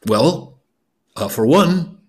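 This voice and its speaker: A man's voice: fairly high pitch, lively intonation, very slow, darkish tone, pitched above the performer's own voice. A man voicing a cheerful, carefree old beggar with a jolly, boisterous manner in a spoken folk tale.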